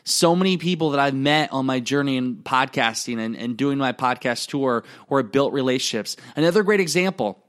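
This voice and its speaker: A young adult man with an Iowa accent, hosting his own podcast and talking through his journey in an excitable, fast-when-excited way. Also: mid-range voice. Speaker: podcast host. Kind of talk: reflective solo podcast monologue.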